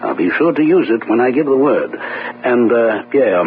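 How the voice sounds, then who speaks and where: An actor playing a shrewd, urbane detective in a radio drama, speaking formally and deliberately with thoughtful hesitations. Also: male voice, medium pitch, big pitch swings. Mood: confident. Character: shrewd, commanding gentleman detective, urbane and self-assured. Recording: muffled phone-like old radio audio, faint noise.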